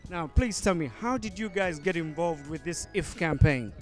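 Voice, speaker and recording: A man interviewing people at an event, halting with frequent fillers and restarts. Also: a little high, expressive, faint music behind. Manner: hesitant, many ums and restarts.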